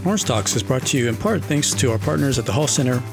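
A man hosting a podcast, reading a steady scripted introduction. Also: medium pitch, fast talker. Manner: clear and steady, read from a script. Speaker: podcast host. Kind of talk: scripted intro and interview questions. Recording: music bed under the voice. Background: guitar music.

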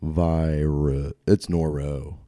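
A congested man with a deep, dark voice rambles through a story, swearing freely and laughing at his own jokes. The sound is close and dry, with no room around it. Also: level tone, extremely slow, no room sound. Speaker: podcast co-host. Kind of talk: casual profane banter.

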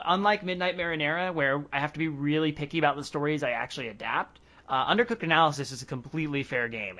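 Bright-voiced man hosting a casual podcast. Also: a little high. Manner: rambling, laughs often. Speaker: podcast host. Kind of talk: casual chat.

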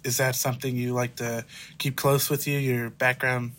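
A man's voice: mid-range voice, flat delivery, normal speed, fairly bright tone. Guy hosting a podcast, keeps saying awesome, upbeat, asks easygoing questions. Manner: upbeat, short affirmations. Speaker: podcast host. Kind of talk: casual interview.

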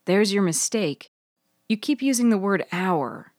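A woman voicing an elegant, wise and unruffled woman in story dialogue, philosophical and gently lecturing. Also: mid-range voice, lively intonation, a little slow, no room sound, studio quality, voice pushed up, close to an everyday voice. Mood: calm, philosophical. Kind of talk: dramatised audiobook dialogue.

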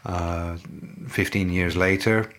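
A middle-aged man, a native English speaker, answering interview questions in a measured, halting way with many fillers and restarts. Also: low voice, fairly even intonation, a bit bright. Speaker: company representative, interviewee.

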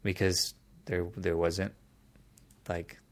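A man's voice: sits low, flat delivery, slow. A man in casual conversation, explaining a topic at length in a thoughtful way, often restarting his sentences.

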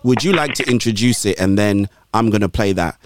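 A man's voice: lowish voice, a little fast, a little hiss or hum. A man hosting a gospel radio interview from a studio, often restarting his words and encouraging his guest in a preachy manner. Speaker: radio show host.

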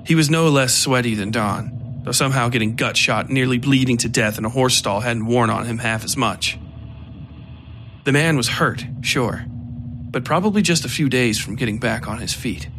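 A man narrating a dark horror fiction story in a steady, measured way. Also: mid-range voice, noisy background.

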